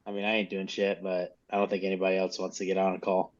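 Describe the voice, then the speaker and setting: A man's voice: low voice, monotone, quick pace. Male coach on dull call audio. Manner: informal, mildly profane. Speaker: coach leading a group call. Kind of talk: casual instructional talk. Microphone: video call.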